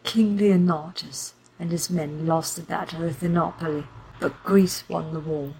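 A woman takes on an elderly grandmother who once fought as a partisan. She speaks in a lowish, steady voice with rallying conviction, like a general writing to her troops. A faint background hiss runs under the audio drama recording. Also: a little slow, gravelly, rough recording. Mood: resolute. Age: older. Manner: steady, rallying letter-reading. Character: elderly grandmother, war veteran, resolute.

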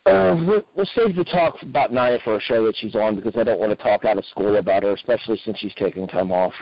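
A man with a slightly rough voice, co-hosting a wrestling podcast in casual review chat. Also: fairly low pitch, fast talker, dry recording, highs cut off.

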